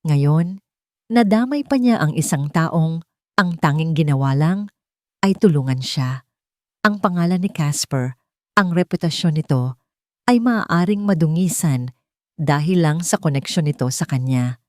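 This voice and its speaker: A woman's voice: low-pitched, expressive, a little slow, pauses often, a little mellow, no room sound, clean studio sound. A woman narrator reading a scripted dramatic romance story.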